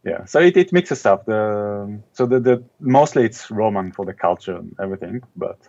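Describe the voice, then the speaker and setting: A middle-aged man from Israel, an author being interviewed, speaking in a thoughtful, casual way with many pauses and ums. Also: fairly low pitch, lively intonation, gravelly, darkish tone, muffled recording.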